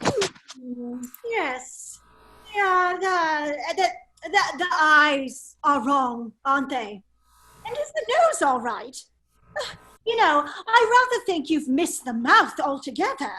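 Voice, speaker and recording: Woman playing a very high-voiced, languid English fop. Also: sing-song, slow, bright, forceful tone, heavily acted, poor audio. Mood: foppish, teasing. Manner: affected, languid, drawling, exclaiming. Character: foppish, witty English gentleman with a secret life.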